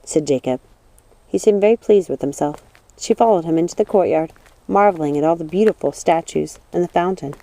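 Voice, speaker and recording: A woman reading scripted storybook narration in a measured, expressive manner. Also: lowish voice, no echo, poor audio. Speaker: audiobook narrator.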